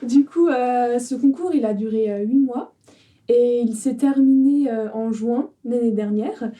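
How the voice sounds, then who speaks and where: Hesitant young woman, dark tone. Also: fairly high pitch, a little slow, far from the mic. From France, Alsace. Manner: hesitant, with fillers. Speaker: startup cofounder, guest. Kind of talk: casual interview answers.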